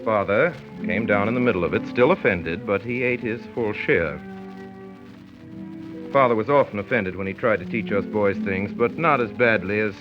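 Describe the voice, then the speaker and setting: An actor playing a grown son narrating family memories, wry and measured, in 1930s radio style. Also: male voice, fairly low pitch, lightly acted. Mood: wry, reminiscent. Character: grown son wryly recalling his domineering father. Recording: dull 1930s radio recording with music.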